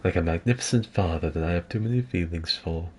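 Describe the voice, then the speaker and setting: A man's voice: sits low, quick pace, husky. A man voicing a cheerful, sentimental knight, his voice muffled by a hand over his mouth as if inside a helmet. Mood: earnest. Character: cheerful chivalrous male knight, sentimental, earnest.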